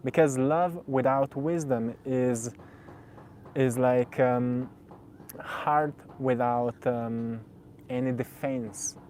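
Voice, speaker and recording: Mellow-voiced young man, native Slovenian. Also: medium pitch, fairly even intonation, drawn-out words, a little hiss or hum. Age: 20s. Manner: gentle, searching, repeats phrases. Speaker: spiritual video host. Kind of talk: reflective spoken monologue.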